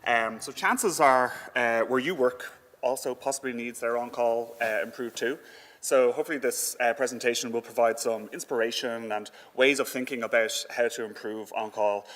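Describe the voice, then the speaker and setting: A man giving an informal conference presentation in a conversational, halting way with frequent filler words. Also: mid-range voice, steady tone, fairly bright tone. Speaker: conference speaker. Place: indoor conference hall. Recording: echoey hall with long reverb.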